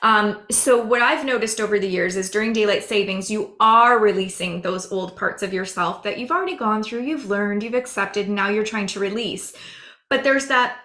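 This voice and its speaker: A woman psychic medium chatting on a podcast with a hoarse, raspy voice, explaining earnestly at length. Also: medium pitch, pushed and bright, reverberant. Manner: rambling, earnest, drawn-out explaining. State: hoarse, losing her voice. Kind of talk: casual podcast chat.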